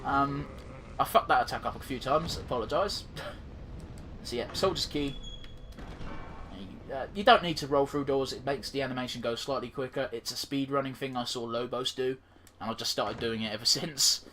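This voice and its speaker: A man's voice: medium pitch, normal speed, pushed and bright, slight background noise. A man with a blocked nose giving casual, swear-laden commentary while playing a game, trailing off with fillers. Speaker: gaming commentator. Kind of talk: casual let's-play commentary.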